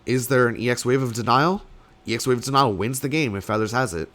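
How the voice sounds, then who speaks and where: A young man, slightly sick and losing his voice, casting a card game tournament alone in rambling play-by-play full of fillers, with sudden bursts of excitement. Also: medium pitch, fast talker. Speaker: tournament caster. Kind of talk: solo play-by-play commentary.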